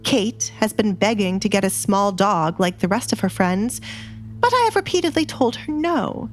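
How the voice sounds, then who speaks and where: A woman's voice: medium pitch, animated, normal speed, soft background music, lightly acted. A woman voicing a haughty, controlling noble mother, strict and scheming, in an audiobook drama. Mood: stern, defensive.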